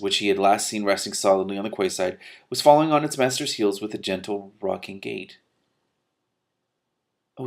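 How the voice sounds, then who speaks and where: A man hosting an expressive read-aloud of a novel, breaking off for casual, candid reactions. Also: lowish voice. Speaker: host reading a novel aloud with commentary.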